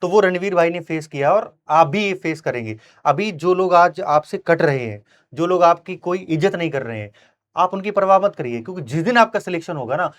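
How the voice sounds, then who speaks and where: A man interviewing in a conversational, emphatic and encouraging way, often adding tag questions. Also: fairly high pitch. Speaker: interviewer and coaching teacher. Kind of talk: conversational interview with motivational asides.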